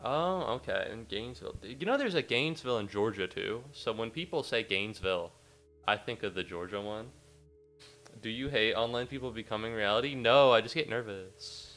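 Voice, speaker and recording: A man's voice: on the low side, brisk, fairly bright tone, faint music behind. A young American man, a little sniffly after a cold, chatting in a rambling, self-mocking way to his viewers during a casual game livestream. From United States. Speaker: game streamer. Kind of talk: casual livestream chat while gaming.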